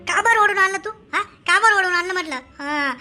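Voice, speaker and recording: A woman's voice: extremely high pitch, moderate pace, bright, forceful tone, faint music behind. A woman performing cartoon comedy dialogue in a scolding, exclamatory, theatrical way. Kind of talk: animated comedy dialogue in colloquial Marathi.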